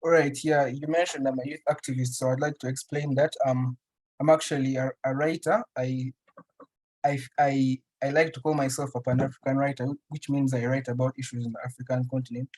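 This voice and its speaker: A man's voice: mid-range voice, flat delivery, fairly quick, darkish tone, low-quality recording. A young man from Uganda, answering interview questions casually and thoughtfully, with frequent restarts and fillers. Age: young adult. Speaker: interview guest, writer.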